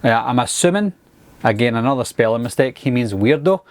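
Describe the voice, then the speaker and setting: Glaswegian male vlogger, mid-pitched, earnest and patient, dry close sound. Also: expressive, a little fast, a little mellow, dry recording. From Glasgow, Scotland. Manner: earnest, patient, lots of fillers and restarts. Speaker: YouTuber. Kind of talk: casual talk to camera.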